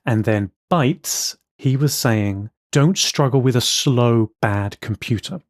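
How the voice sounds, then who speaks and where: A man's voice: mid-range voice, takes it slowly, no echo. A man co-hosting a casual podcast, opinionated and wry, with dry sarcasm in how he talks. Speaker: podcast co-host, programmer.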